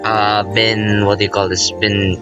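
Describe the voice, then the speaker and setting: A man with a fairly low voice chats informally through a visual novel let's play, with music clearly playing behind him. He rambles and interrupts himself in an excitable way, moving between reading the text and his own commentary. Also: a little slow, dull audio. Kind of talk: casual rambling gameplay commentary with reading aloud. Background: visual novel game music.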